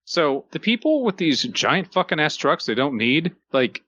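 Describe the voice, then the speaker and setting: A man's voice: on the high side, very animated, unhurried, sharp tone, dull audio. A man co-hosting a casual comedy podcast, blunt, profane and exasperated as he talks. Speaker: podcast co-host.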